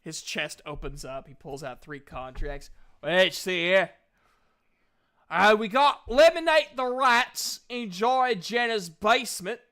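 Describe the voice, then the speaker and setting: A man narrating a tabletop fantasy game as game master, with halting phrasing. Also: high voice, animated, pushed and bright.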